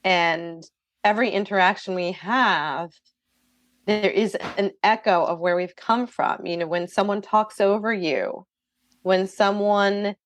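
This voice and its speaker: A woman's voice: medium pitch, dry recording. A middle-aged woman, a guest author, answering podcast interview questions in an earnest, thoughtful way.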